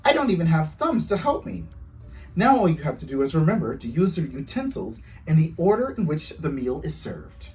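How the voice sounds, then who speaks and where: A man's voice: on the high side, big pitch swings, moderate pace, darkish tone, distant mic, soft background music. A man voicing a small, helpful pet hamster who teaches manners in an encouraging, instructive way in a children's storybook. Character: small male talking pet hamster, helpful etiquette tutor.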